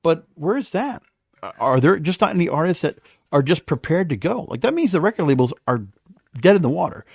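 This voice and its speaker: A man's voice: on the high side, sing-song, brisk, creaky, breathy edge, dry recording, studio quality. A man hosting a podcast, giving casual solo commentary in an emphatic way, full of rhetorical questions. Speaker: podcast host.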